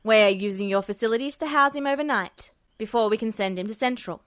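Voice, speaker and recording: A woman's voice: a little high, brisk, no room sound, lightly acted. A woman voicing a curt, official male military officer in an audiobook-style story.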